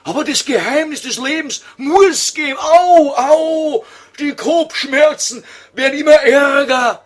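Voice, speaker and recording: A man's voice: very high-pitched, a little slow. A man voicing a grumpy old scholar in Viennese dialect for an audio comedy sketch, whining and impatient. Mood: whining, brooding.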